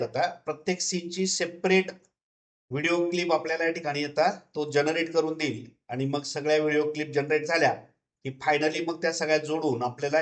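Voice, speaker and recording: A man giving an unscripted, step-by-step software tutorial in a repetitive, instructional way. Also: on the high side. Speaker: tutorial presenter.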